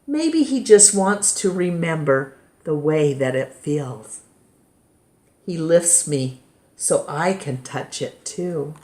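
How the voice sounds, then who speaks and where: Slow, low-voiced woman reading a storybook. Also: lively intonation, darkish tone, a little hiss or hum. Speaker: storyteller reading a children's book. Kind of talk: read-aloud picture book narration. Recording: roomy, echoing indoor sound.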